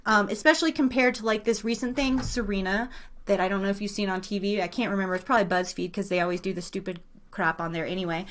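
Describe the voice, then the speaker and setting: A woman's voice: medium pitch, fairly bright tone. A woman hosting a casual live trivia stream, chatting informally and slangily with her listeners. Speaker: live show host running a trivia quiz.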